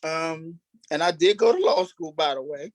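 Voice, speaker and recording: A man's voice: on the high side, lively intonation, moderate pace, no room sound. A man who acts on stage, casually telling stories about himself as an interview guest. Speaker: stage actor being interviewed. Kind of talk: casual storytelling.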